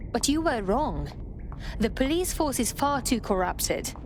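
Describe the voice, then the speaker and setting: A woman's voice: fairly high pitch, very animated, unhurried, noisy background. An actress playing a skeptical, commanding female resistance operative, blunt and challenging, in a video game.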